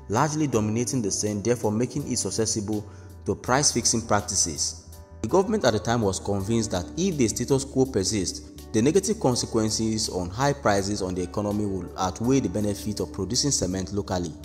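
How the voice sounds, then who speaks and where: Low-voiced man reading news. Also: darkish tone. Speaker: news narrator. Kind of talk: scripted news narration. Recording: long reverb with faint background music.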